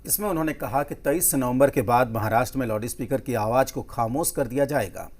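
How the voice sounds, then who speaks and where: A man presents the news in a medium-pitched voice. His delivery is scripted narration, read as an introduction to a report. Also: a little fast.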